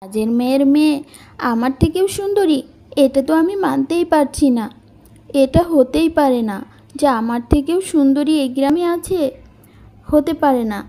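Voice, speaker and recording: A vain young rich girl from a cartoon tale, acted by a woman, imperious and jealous. The voice sits high with a soft, mellow tone, and she orders people about as their mistress. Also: lively intonation, unhurried, long pauses. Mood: jealous, indignant. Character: haughty, jealous, vain young landlord's daughter bullying servants.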